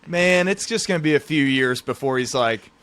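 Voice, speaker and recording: A man's voice: medium pitch, normal speed, fairly bright tone, clear, resonant tone. A man in his thirties hosting a podcast in a casual, conversational style. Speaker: podcast host.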